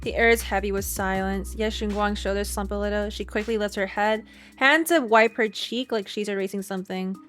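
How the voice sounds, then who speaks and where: A woman with a middle-pitched voice streams a story game. She moves between casual reactions and reading game text aloud, with faint music behind her. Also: steady tone, fairly quick, fairly bright tone.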